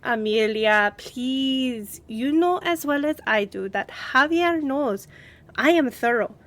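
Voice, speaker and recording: A woman playing a composed, confident young lawyer and boss in an audio roleplay, with a measured, earnest delivery. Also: high-pitched, animated, fairly bright tone, full character voice.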